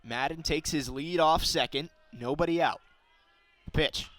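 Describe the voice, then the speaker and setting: A young man with a fairly high voice does live baseball play-by-play. He describes the action in detail and lifts his delivery for big plays. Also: unhurried, fairly bright tone. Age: young adult. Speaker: play-by-play announcer.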